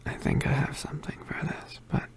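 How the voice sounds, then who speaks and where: A performer voicing an injured, poisoned young male witch in an ASMR roleplay, weak and apologetic, mostly whispering in halting, pained breaths. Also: lightly acted. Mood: pained, weary. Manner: halting, pained, with sighs and throat clearing.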